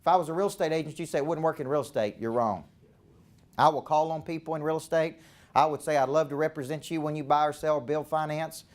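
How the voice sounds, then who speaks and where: A fairly high-voiced man with a Southern American accent coaches a live event crowd. He talks in short, punchy bursts and keeps checking in with the room. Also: steady tone, fast talker. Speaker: business coach. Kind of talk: live seminar talk to a room.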